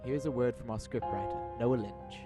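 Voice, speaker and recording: A man's voice: mid-range voice, normal speed, loud music behind the voice. A man reading scripted narration for an audio drama as announcer and storyteller.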